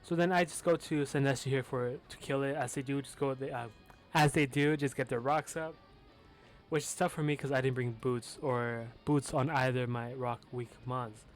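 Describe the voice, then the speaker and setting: A man's voice: medium pitch, fairly even intonation, moderate pace, soft background music. A young man with a lisp casually commentating his own competitive match, talking loosely with fillers and the odd swear word.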